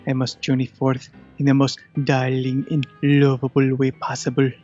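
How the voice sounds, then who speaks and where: Man voicing a pompous, flat-toned scheming cat, slightly muffled. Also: mid-range voice, normal speed, soft background music, natural voice. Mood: mock submissive. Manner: pompous, theatrical phrasing. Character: adorable talking cat, treacherous, grandiose schemer. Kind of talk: audio drama acting.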